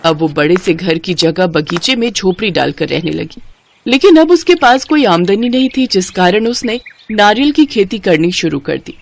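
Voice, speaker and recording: A woman's voice: mid-range voice, animated, moderate pace, gravelly, a little hiss or hum. A woman narrating a scripted children's moral story as the storyteller.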